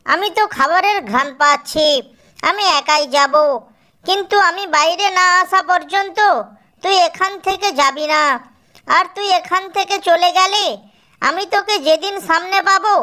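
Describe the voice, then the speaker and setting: High, bright, boastful hungry fox acted out. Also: normal speed. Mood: threatening. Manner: boastful, threatening. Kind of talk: children's cartoon character voice.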